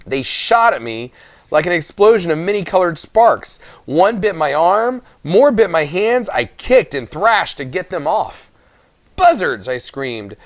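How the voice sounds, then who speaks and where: A man reading a children's story aloud as narrator, with expressive, dramatic emphasis on phrases. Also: mid-range voice, no room sound. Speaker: audiobook reader.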